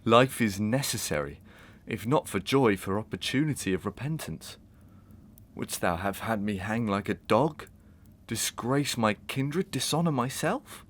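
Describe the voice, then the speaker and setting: An actor playing a guilt-racked, tormenting gentleman murderer in a Victorian audio melodrama, with theatrical, deliberate, archaic delivery. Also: fairly low pitch, expressive. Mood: tormented, defiant. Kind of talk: Victorian stage melodrama acting in an audio drama.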